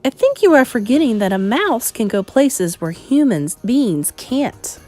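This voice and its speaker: A woman's voice: a little high, sing-song, measured pace, dark tone, voice pushed up. Woman doing an eager clever boy-mouse.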